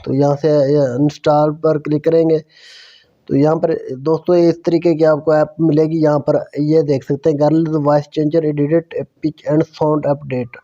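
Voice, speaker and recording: Flat-toned man giving a tutorial, muffled sound. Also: a little high, normal speed. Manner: repetitive, step-by-step explaining. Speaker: tutorial host. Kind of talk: casual app tutorial narration.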